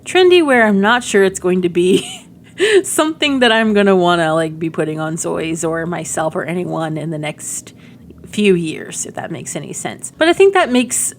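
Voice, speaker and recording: Lady middle aged, kinda low voice, rambly cutesy gamer chat, bit of rumble behind it. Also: big pitch swings, normal speed. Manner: rambling, giggly asides, cutesy slang. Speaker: gaming video creator. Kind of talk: casual, chatty game demo commentary.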